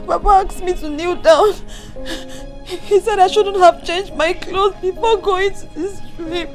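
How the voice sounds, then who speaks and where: A sobbing young daughter. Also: female voice, extremely high pitch, fairly even intonation, a little slow, faint music behind. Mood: tearful. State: crying. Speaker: actor.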